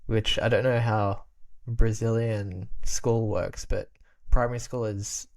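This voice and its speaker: A man's voice: on the low side, flat delivery, slow, gravelly, darkish tone. A young man from Australia, a podcast guest who grew up speaking Albanian, chatting casually with many restarts and frequent laughs.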